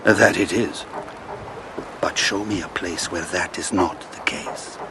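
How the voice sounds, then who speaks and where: A man's voice: low voice, slow pace, heavy background noise. A man playing a worldly, wry ship's captain in an audio drama, telling tales in a knowing, teasing way.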